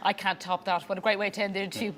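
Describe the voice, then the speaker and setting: A woman's voice: mid-range voice, little pitch movement, rapid-fire. A woman TV presenter interviewing award winners. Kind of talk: television interview. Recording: noisy event room.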